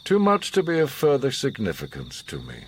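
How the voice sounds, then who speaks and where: An actor playing a grave, commanding, enigmatic older war survivor in a radio drama, speaking in a measured, solemn, philosophical way. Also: male voice, medium pitch, huge pitch swings, slight background noise. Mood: coldly dismissive. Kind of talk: radio drama monologue and dialogue.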